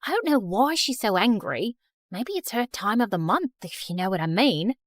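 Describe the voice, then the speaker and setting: A woman's voice: mid-range voice, very animated, moderate pace, no room sound, voice pushed down, lightly acted. A woman voicing a cheeky teenage girl in a cartoon story, with a mock-polite, pompous British accent.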